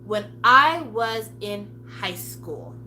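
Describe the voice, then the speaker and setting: A woman in her forties talks in a candid, chatty way and swears offhandedly. Her pitch sits in an ordinary middle range. A clear steady hum runs behind her voice. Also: drawn-out words. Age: 40s. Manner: chatty, frank, swears casually. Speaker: vlogger. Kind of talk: casual chat to camera.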